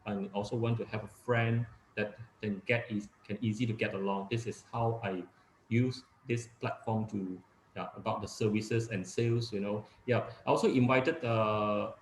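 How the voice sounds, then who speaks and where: Low-voiced man in echoey room. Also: fairly even intonation, a little hiss or hum. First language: Cantonese. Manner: halting, many fillers and restarts. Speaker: guest speaker, real estate agent. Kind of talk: casual webinar talk.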